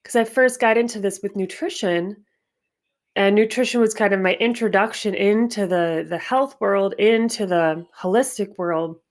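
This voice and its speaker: A stuffy-nosed woman hosting a spiritual wellness podcast, talking in a reflective, unhurried way with frequent restarts. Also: medium pitch. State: stuffy nose. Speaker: podcast host.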